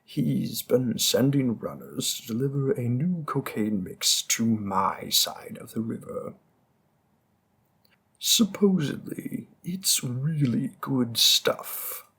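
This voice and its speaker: A man's voice: mid-range voice, big pitch swings, slow pace, vocal fry, a bit bright, breathy edge, exaggerated voice. A man playing a smug, flirtatious mob boss who teases a detective and drops veiled threats. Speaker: audio roleplay performer. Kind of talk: scripted audio roleplay.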